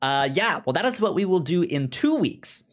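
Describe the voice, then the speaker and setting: A man co-hosting a podcast in casual chat, animated, with halting, repetitive phrasing. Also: sits high. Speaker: podcast co-host.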